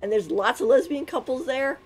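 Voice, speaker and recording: A woman game streamer giving casual, halting, musing commentary on a livestream. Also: high-pitched, lively intonation.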